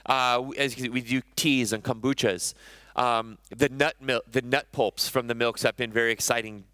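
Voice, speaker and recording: A man's voice: medium pitch, fairly even intonation, normal speed, bright, forceful tone, clear, resonant tone, no echo. A man in his thirties, a chef giving a conversational conference talk on stage, often correcting himself mid-sentence. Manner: conversational, self-correcting, enthusiastic about food.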